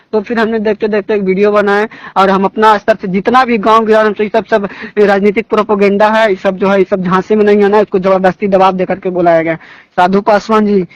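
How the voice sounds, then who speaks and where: A man's voice: sits high, no room sound. A young man with a Bihari accent talking politics informally on a recorded phone call, indignant and emphatic, often repeating his phrases. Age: young adult. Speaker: phone caller discussing local politics.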